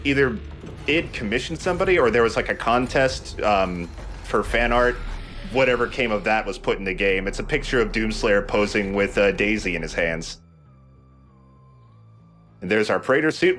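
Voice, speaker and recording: Man, low register, informal and keen let's play host, game music underneath. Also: moderate pace, a bit bright. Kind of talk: casual game commentary.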